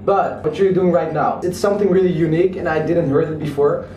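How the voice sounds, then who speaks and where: High-voiced eager man, roomy distant sound. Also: fairly even intonation, brisk, darkish tone, lo-fi sound, slight background noise. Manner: eager, chatty, slightly broken English. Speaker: interviewer host. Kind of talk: casual interview. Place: outdoors or open room in Chiang Mai. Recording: roomy, distant mic, faint ambience.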